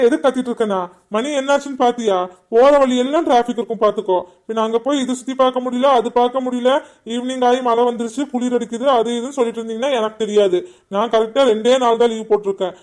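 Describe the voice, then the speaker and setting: A man playing an impatient hurried son. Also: very high voice, fairly even intonation, a little mellow. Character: impatient grown son, worried about traffic. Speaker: son in a family skit.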